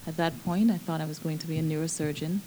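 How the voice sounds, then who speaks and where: A woman surgeon in her forties, answering interview questions in an animated, emphatic way. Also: low voice, quick pace, a little hiss or hum, poor audio. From Bahamas.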